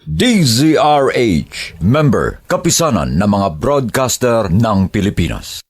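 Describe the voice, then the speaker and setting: A man's voice: medium pitch, sing-song, takes it slowly, husky, faint music behind. A man with a Filipino accent reading a radio station ident as an announcer.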